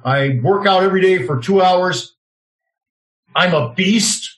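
A man's voice: high voice, a little slow, a bit bright. A brash, self-assured man in his fifties hosting an interview show, cutting in with questions. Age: 50s. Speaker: show host. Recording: roomy echo.

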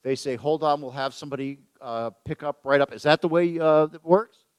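A male radio host with a slightly raised pitch chats in an easy, joking way, often at his own expense. The sound is dry and close, with no room echo. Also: a little fast, smooth. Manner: jokey, self-deprecating, chatty. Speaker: radio talk show host. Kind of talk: casual chat. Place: event venue with photographers.

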